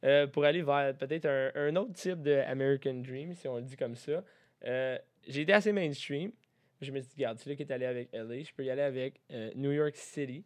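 A man's voice: medium pitch, a little fast. A young man with a French Canadian accent co-hosts a podcast in casual chat, mixing English slang into his speech. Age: young adult. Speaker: podcast co-host.

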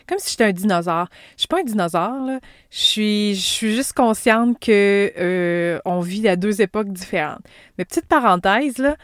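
A woman's voice: medium pitch, clear, resonant tone, dry recording, clean studio sound. A woman in her thirties with a Québécois accent, hosting a casual personal podcast, chatty and rambling with many asides and fillers. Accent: Québécois French. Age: 30s. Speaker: podcast host.

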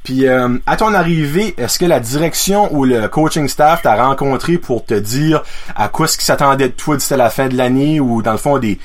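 A man's voice: medium pitch, normal speed. A man with a Quebec French accent hosting a casual sports interview, chatty, with frequent fillers and laughs. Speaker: radio show host.

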